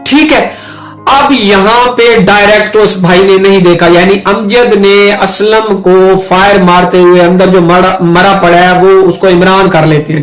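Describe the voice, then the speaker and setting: High-pitched Pakistani man explaining informally. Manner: conversational, repeatedly checking in with a filler tag. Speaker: law lecturer and video host. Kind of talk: informal explanatory lecture with worked examples. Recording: roomy echo with background music.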